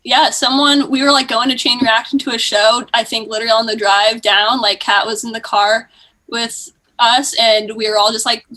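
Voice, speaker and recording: A woman's voice: fairly high pitch, fairly even intonation, normal speed, bright, forceful tone, poor audio. A woman guest chatting informally on a podcast, slangy, with "like" sprinkled all through. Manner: informal, slangy, heavy use of "like". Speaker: podcast guest, music company co-founder. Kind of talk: casual chat.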